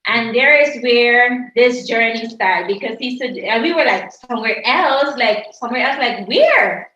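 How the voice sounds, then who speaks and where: A woman's voice: on the high side, lively intonation, unhurried, sharp tone. A woman sharing her ministry testimony at a table, reflective and offering advice. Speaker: ministry speaker. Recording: distant echoey muffled video call.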